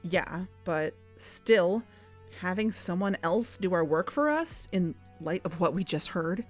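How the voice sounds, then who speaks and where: Anxious, cautious investigator, lowish female voice. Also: lively intonation, a little slow, faint music behind. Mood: wary. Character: anxious, cautious woman investigator, conflicted and wary. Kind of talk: naturalistic audio drama dialogue.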